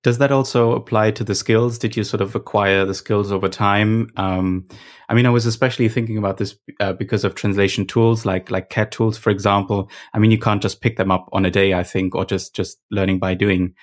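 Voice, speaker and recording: A woman's voice: extremely low pitch, steady tone, brisk. A woman interviewing a guest, asking curious, hedging questions full of restarts. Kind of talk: conversational interview.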